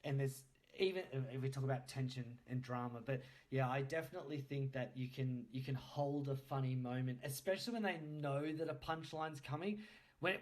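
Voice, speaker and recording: A man hosting a podcast, chatting casually and asking questions as an interviewer. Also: mid-range voice. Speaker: podcast host.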